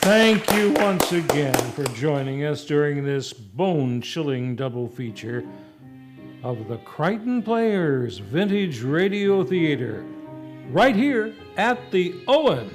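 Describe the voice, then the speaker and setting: A male series host delivers a scripted vintage radio sign-off from a theater stage. His voice sits high, with formal, showy phrasing over clearly audible music. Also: sing-song, slow pace. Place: theater stage, live broadcast. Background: live music.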